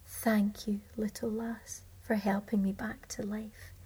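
Kindly little swallow bird, soft breathy whisper, slow, woman voicing it. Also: mid-range voice, flat delivery, rough recording. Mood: tender.

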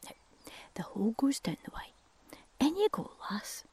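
Woman whispering as a brisk Scottish woodpecker. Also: a little high, very animated, a little mellow, slightly rough, full character voice. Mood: friendly. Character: cheerful helpful Scottish woodpecker.

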